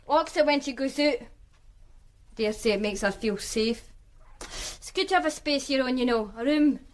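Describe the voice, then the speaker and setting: A woman's voice: on the high side, natural voice. A woman playing a wry streetwise Glasgow woman. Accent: Glaswegian. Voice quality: dry, sardonic. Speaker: witness, flatmate of the victim. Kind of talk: radio drama acting.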